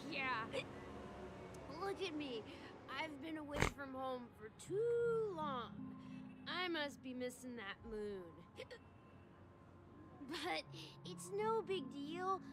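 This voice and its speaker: Very high, slurred, tipsy little guy. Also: very animated, exaggerated voice. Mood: tipsy, wistful. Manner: slurred, tipsy, drawn-out rambling. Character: drunk, dreamy, childlike small male dream-seeker, cheerful. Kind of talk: video game voice acting. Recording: music playing over the voice.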